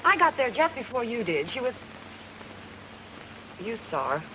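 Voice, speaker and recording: A woman's voice: fairly high pitch, sing-song, unhurried, sharp tone, slight background noise, exaggerated voice, poor audio. Anxious noir woman, swooping voice. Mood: frightened, defensive. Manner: pleading, defensive. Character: anxious, defensive young woman caught in a murder. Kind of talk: old time radio noir acting. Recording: old radio recording with music.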